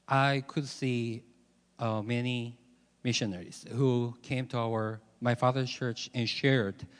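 A man from South Korea, a native Korean speaker with a Korean accent, giving a halting, self-joking testimony as a missionary guest speaker. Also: medium pitch, clear, resonant tone. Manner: halting, joking about himself. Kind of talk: personal testimony to a church congregation. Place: large church hall, over a PA. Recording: echoey hall, long reverb.

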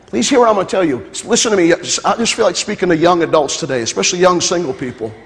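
A man preaching a sermon to a congregation as pastor, building to loud, fervent repetition and joking with the crowd. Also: sits high, animated, very fast. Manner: jokes with the congregation. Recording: long hall reverb.

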